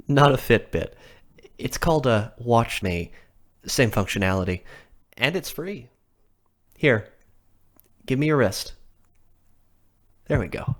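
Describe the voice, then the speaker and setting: A man's voice: medium pitch, expressive, takes it slowly, pauses often. An actor playing an affable, chatty man who works in health science, with an informal, chuckling manner. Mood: friendly. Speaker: actor in an audio drama. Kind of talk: naturalistic audio drama acting.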